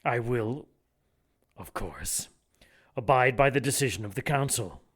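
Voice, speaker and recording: An actor playing a menacing cult villain in an audio drama, coolly polite and vengeful, with measured, pointed speech. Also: male voice, mid-range voice, lively intonation, a bit bright. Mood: cold restraint. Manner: measured, pointed, veiled threats.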